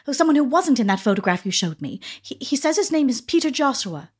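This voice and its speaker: High-voiced, wry young widow, acted by a woman. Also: big pitch swings, quick pace, slightly rough, exaggerated voice. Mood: anxious, upset. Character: frightened but witty young American widow. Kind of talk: radio drama acting.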